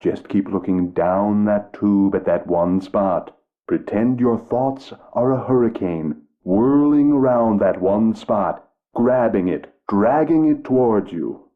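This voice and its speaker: An actor playing a condescending, self-important tutor of the paranormal in a mystery game. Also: male voice, lowish voice, slow. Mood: patronizing. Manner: pompous, condescending. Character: smug male con-man tutor, middle-aged. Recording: tinny phone-like game audio.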